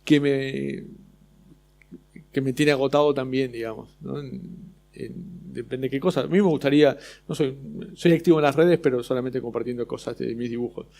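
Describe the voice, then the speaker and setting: A man's voice: mid-range voice, steady tone, moderate pace, vocal fry, dark tone. A man with an Argentine accent speaking on an illustrators' panel, hesitant, with many restarts. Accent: Argentine Spanish. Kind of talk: casual panel discussion.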